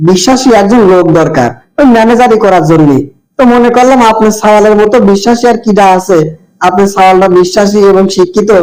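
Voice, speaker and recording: Friendly village neighbour, cartoon, male actor: rapid chatty delivery, high register, rural Bangladeshi accent. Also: a little mellow, lightly acted, poor audio. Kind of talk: amateur cartoon voice acting.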